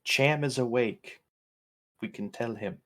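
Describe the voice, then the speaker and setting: A man's voice: mid-range voice, slow, fairly bright tone. A man playing a tabletop roleplaying game, bantering casually with joking profanity.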